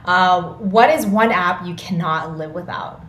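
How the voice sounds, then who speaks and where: A woman's voice: lowish voice, measured pace. A woman hosting a podcast interview in an enthusiastic, informal way, moving through questions. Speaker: podcast host. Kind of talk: upbeat interview with quick questions. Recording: roomy echo, faint noise.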